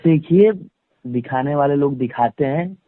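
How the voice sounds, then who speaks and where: A man's voice: fairly high pitch, unhurried, no echo. A man giving a conversational phone interview as a guest, emphatic and repeating phrases for stress. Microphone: phone line. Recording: tinny, band-limited phone call recording.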